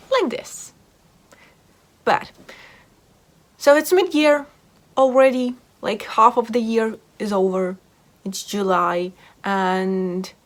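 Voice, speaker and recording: A woman hosting a casual book review video, talking informally and repeating words for emphasis. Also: mid-range voice. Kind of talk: casual book chat to camera.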